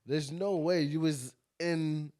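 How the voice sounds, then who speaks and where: A man's voice: on the high side, fairly even intonation, slow pace, dark tone. A twenty-five-year-old man chatting as a podcast guest, teasing in slangy, repetitive phrases. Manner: informal, slangy, repeats himself. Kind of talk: casual teasing banter.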